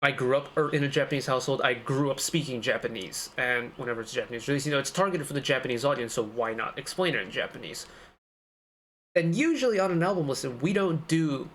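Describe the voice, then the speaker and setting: A man's voice: medium pitch, expressive, fast talker, fairly bright tone, slight background noise. A young man giving casual, analytical commentary as the host of a music reaction video, talking in a chatty way. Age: young adult.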